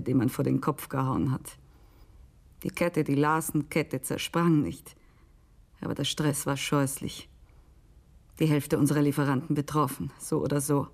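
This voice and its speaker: A woman's voice: low-pitched, gravelly, a little mellow, full character voice. An actress playing an intense American businesswoman confiding a scandal in a radio drama monologue, sometimes dropping to a whisper.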